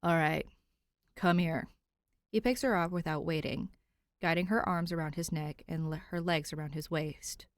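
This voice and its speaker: A woman's voice: low voice, steady tone, moderate pace, no room sound, studio quality. A woman reading a scripted story aloud as an audiobook narrator. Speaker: podfic narrator.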